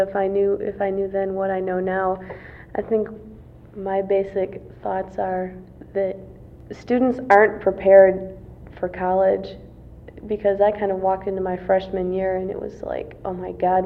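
A woman's voice: mid-range voice, level tone, a little slow, gravelly. A woman in her twenties, a college student answering interview questions casually, with many fillers and restarts. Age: 20s. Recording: tinny phone-like lo-fi recording.

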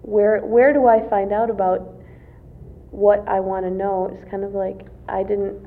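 Hesitant young woman, gravelly voice. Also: mid-range voice, little pitch movement, a little slow. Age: 20s. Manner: hesitant, many fillers and restarts. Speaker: college student interviewee. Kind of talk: casual interview answers. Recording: tinny phone-like lo-fi recording.

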